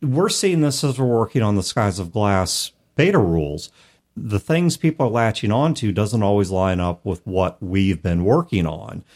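A man's voice: on the low side, animated, moderate pace, a little mellow. A man hosting a casual podcast discussion, speaking in an analytical, articulate way and explaining his points through examples. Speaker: podcast host discussing storytelling in role-playing games.

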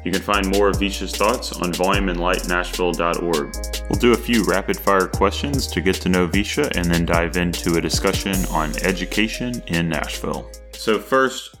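A man hosting a podcast, reading a scripted intro and asking polite, measured questions. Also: low-pitched, music in the background. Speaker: podcast host.

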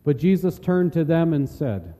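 A man reads scripture as a solemn narrator. His voice is very dark and mellow, ringing with the long reverb of a large hall. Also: fairly high pitch, very animated, moderate pace. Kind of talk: solemn dramatic scripture reading.

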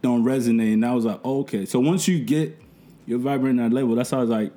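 A young man with a deep, mellow voice talks loosely through his story, dropping slang and circling back on himself. His tone is dark and easy, and his speech is that of a seasoned event host chatting on a podcast. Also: fast. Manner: relaxed, rambling storytelling with slang. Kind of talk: casual podcast conversation.

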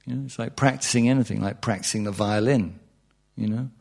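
Gravelly man teaching meditation. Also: medium pitch, fairly quick, a little mellow. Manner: conversational, joking, checks in with "yeah?" and "you know". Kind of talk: informal spiritual talk to a group.

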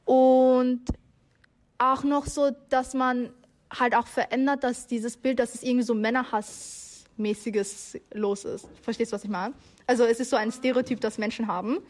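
A woman's voice: a little high, fairly even intonation, normal speed, a little mellow. A young adult woman speaking casually as a radio guest, loose and searching, with lots of filler words. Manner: loose and searching, many fillers like halt and also. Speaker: interview guest. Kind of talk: casual spoken opinion.

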